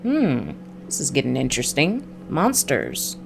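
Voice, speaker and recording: A woman's voice: extremely low pitch, wildly swooping pitch, measured pace, vocal fry. A woman giving casual stream commentary as she plays a visual novel, musing aloud over her choices. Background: faint background music.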